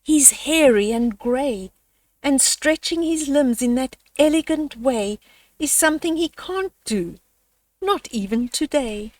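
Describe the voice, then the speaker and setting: Woman as a sweet, slow-speaking little baboon girl. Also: high-pitched, expressive, a little mellow. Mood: earnest, doubtful. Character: baboon's sweet little daughter, plain-spoken about dad. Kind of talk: children's storybook read-aloud.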